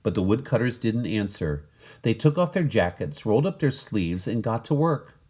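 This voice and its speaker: A man reading a children's picture book aloud in an animated, dramatic manner. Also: fairly low pitch, normal speed. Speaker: story reader.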